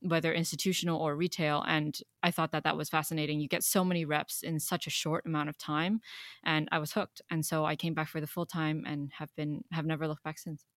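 A woman's voice: sits low, level tone, a little fast, fairly bright tone, dry recording, clean studio sound. A young woman from Hong Kong, an analyst guest, answering interview questions in a thoughtful way with some hesitations.

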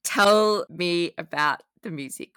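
A woman's voice: fairly low pitch, slow pace, over loud music. A woman co-hosting a casual fan podcast, chatting with an enthusiastic manner. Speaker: podcast co-host.

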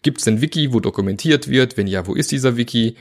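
Man with a gravelly, croaky voice. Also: mid-range voice, fast talker. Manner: chatty, many fillers and asides. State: has a cold, sore throat. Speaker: agile coach teaching teams. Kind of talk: informal spoken lesson.

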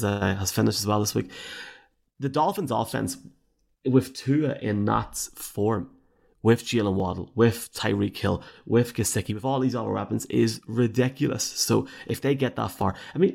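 A man co-hosting a sports podcast, his voice going hoarse, talking fast with stammering restarts. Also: medium pitch, darkish tone. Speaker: podcast co-host. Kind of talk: casual chat.

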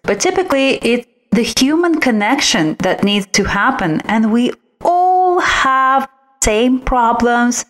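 A woman's voice: on the high side, animated, slow, fairly bright tone. Woman giving advice as interview guest. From originally from outside the United States. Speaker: guest, visual branding expert. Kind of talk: conversational interview, explaining advice.

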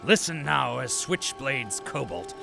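A man's voice: medium pitch, very animated, slow, creaky, music in the background, exaggerated voice. A man playing a stern, pompous corporate propaganda announcer, narrating an audio drama with theatrical menace. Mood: grave, ominous. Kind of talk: dramatic scripted radio narration.

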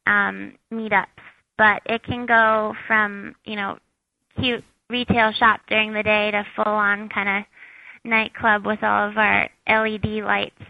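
A woman with a squeaky high voice, a shop owner chatting as an interview guest, with lots of fillers. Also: flat delivery, unhurried, gravelly, no echo, narrow, tinny audio. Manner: chatty, many fillers, laughs at herself. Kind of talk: casual interview answers.

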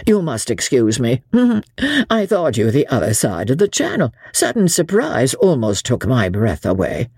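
A woman's voice: a bass voice, wildly swooping pitch, a little slow, mellow, no room sound, studio quality, exaggerated voice. A woman voicing an astute little Frenchman, an audiobook villain who speaks with guarded, feigned courtesy. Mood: feigned pleasantness. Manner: smoothly courteous, guarded, stammering when rattled. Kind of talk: dramatised audiobook character voice.

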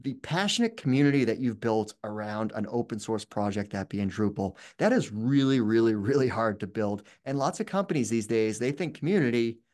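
Guy hosting a podcast interview, mid-pitch voice, chatty and loose. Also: expressive, brisk, hardly any pauses, darkish tone. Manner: chatty, loose phrasing with "like" and "you know". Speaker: podcast host. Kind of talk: casual interview conversation.

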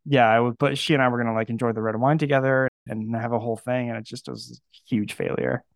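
Male podcast host, gravelly voice, dry close mic, joking casual delivery. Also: mid-range voice, steady tone, quick pace, no room sound. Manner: joking, self-deprecating. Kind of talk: casual chat.

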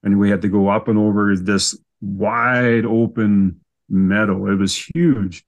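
A Canadian man with a fairly low voice chats as a podcast guest. He tells his stories casually and in an easygoing way, happy to poke fun at himself. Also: fairly even intonation, unhurried, highs cut off. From Canada, southern Saskatchewan. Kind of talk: casual storytelling in an interview.

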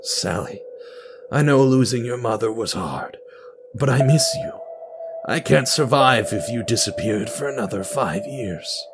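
Man playing a lonely, pleading widowed father, lively pitch. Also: measured pace, over music.

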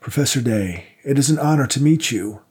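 A man's voice: mid-range voice, animated, a little fast, darkish tone, husky, pitched above the performer's own voice. A man voicing a fussy, awkwardly polite elderly male donor with a raised voice.

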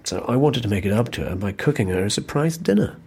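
A worn-out, guilty office bloke in an audio drama; low husky voice, hesitant and sheepish. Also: male voice, animated, quick pace, a little mellow, exaggerated voice. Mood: weary, sheepish. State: tired. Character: tired, hapless, guilt-ridden male office worker. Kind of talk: naturalistic audio drama dialogue.

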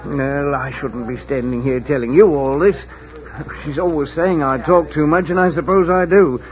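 A man's voice: on the high side, normal speed, lightly acted. An actor playing a worried, talkative old innkeeper and father in a 1940s radio drama, fond and plain-spoken in manner. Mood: chatty, exasperated. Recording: muffled, faintly noisy 1940s radio recording.

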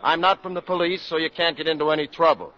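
Male actor as urgent government doctor, polite, insistent, high-pitched, 1950s radio drama, lo-fi recording. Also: fairly even intonation, fast talker, natural voice. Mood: urgent, appealing. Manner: insistent, polite public appeal, projecting. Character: earnest, urgent government doctor appealing to a crowd. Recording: muffled old radio broadcast.

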